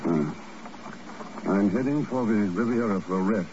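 An actor playing a shrewd secret agent with a dry, understated manner, in 1950s radio drama style. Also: male voice, on the low side, moderate pace, natural voice. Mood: wry, skeptical. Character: shrewd, wry secret agent following his hunches. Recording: muffled, noisy old radio recording.

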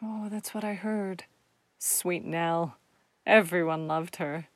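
An actress playing a warm, eager, grateful neighbor woman in radio play dialogue. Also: on the low side, takes it slowly, no echo. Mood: sympathetic.

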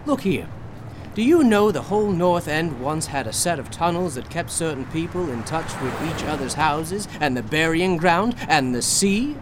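An actor playing an eccentric, boastful painter of horrors, talking fervently and persuasively in a radio drama. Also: male voice, on the high side, lively intonation, moderate pace, noisy background, natural voice.

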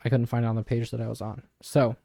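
A young man co-hosting a podcast, explaining ideas in a thoughtful, measured, conversational way. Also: medium pitch, little pitch movement, creaky, dark tone, no room sound, clean studio sound. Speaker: podcast co-host. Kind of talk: casual explanatory discussion.

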